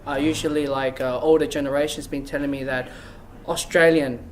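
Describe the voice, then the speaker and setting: A man's voice: medium pitch, fairly even intonation, fairly quick, slight background noise. A young man hosting a casual talk show on a couch, asking questions with lots of "like" and "you know", recorded indoors on a camera mic a few feet away. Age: young adult. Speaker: host. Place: small room indoors, seated on a couch.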